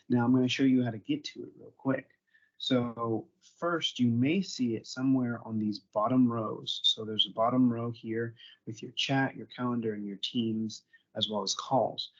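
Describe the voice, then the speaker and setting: Low-pitched man presenting a software tutorial. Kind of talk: informal tutorial demonstration. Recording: dull, muffled online meeting audio.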